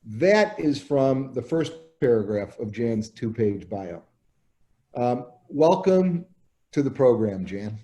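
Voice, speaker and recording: A man hosts an interview, introducing his guest with light humor and then asking long, winding questions. His voice is mellow and warm, sitting in a mid range. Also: mid-range voice, lively intonation, slow. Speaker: interview host. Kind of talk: hosted interview.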